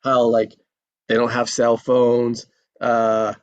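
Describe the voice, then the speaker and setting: A man's voice: mid-range voice, completely flat delivery, slow pace, muffled recording. A man in a casual discussion after a table read, thinking aloud with many fillers and restarts.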